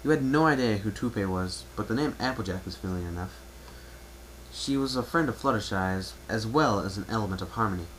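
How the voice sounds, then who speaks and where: Casting: male amateur storyteller, lowish voice, scripted narration, faintly noisy home recording. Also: fairly quick, fairly bright tone. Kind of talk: dramatic reading of fan fiction, second-person narration.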